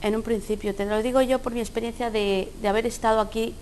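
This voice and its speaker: A woman's voice: a little high, fairly even intonation, fast talker, darkish tone. A woman from Ecuador with an Ecuadorian Spanish accent, speaking earnestly as a guest in a studio debate. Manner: earnest, speaks from personal experience. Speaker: panel guest.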